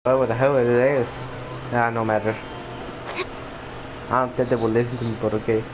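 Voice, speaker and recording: A man with an average-pitched voice gives casual, offhand reactions as a game streamer. The recording has clear noise behind him. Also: flat delivery, normal speed, muffled recording.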